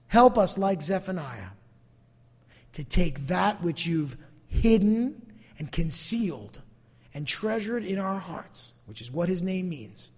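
A man's voice: fairly high pitch, slightly rough. A man preaching a sermon as a pastor, emphatic yet conversational, repeating phrases for emphasis. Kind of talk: sermon to a congregation, closing in prayer. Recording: long hall reverb.

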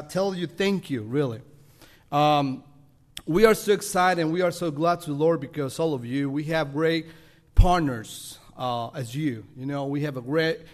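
Salvadoran man, Spanish accent, slightly high voice, halting friendly church talk. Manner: halting non-native English, self-deprecating, laughing often. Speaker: missionary leader. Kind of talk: guest address to a congregation.

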